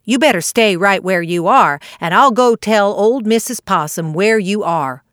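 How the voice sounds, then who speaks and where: A woman voicing a cheerful, lively young male squirrel with a high, eager voice. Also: a little slow, dry recording, clean studio sound, lightly acted. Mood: friendly.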